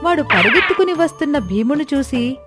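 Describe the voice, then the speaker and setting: A woman narrating a scripted moral story in an expressive storytelling style. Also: high voice, a little fast. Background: background music.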